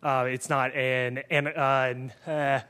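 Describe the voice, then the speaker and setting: A man answering quiz questions hesitantly, halting with many fillers. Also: medium pitch, level tone, sharp tone, smooth. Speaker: quiz contestant.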